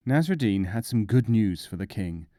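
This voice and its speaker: A man reads folk tales in a low, mellow voice. His delivery is measured and expressive, with a very lively melody that suits storybook narration. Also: dry recording, clean studio sound. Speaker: audiobook narrator.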